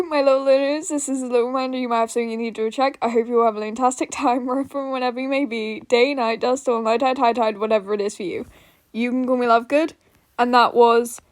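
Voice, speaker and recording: A woman's voice: fairly high pitch, no room sound, clean studio sound. A woman podfic narrator chatting casually to her listeners in an informal, giggly, self-deprecating way.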